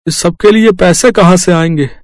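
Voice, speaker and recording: A man playing a gentle, supportive village father in a cartoon story, reassuring and a little hesitant. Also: high-pitched, normal speed, dry recording, studio quality, lightly acted. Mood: curious.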